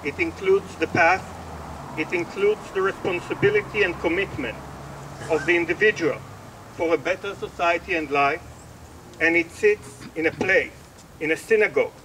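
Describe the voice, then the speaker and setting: A man from Israel, an official, delivers a formal commemorative speech in a solemn, measured way with deliberate phrasing. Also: a little high, pushed and bright, far from the mic, slight background noise, rough recording. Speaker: embassy official giving a speech.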